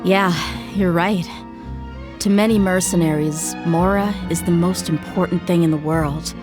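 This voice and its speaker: A woman's voice: fairly low pitch, close to an everyday voice. An actress playing a tough young mercenary woman grieving her father, speaking in an earnest, reflective way. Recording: game audio with music.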